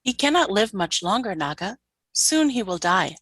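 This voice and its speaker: A woman's voice: mid-range voice, very animated, moderate pace, a bit bright, breathy edge. A woman playing a subordinate alien soldier in a radio play, deferential, giving short, obedient reports. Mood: matter-of-fact, grim.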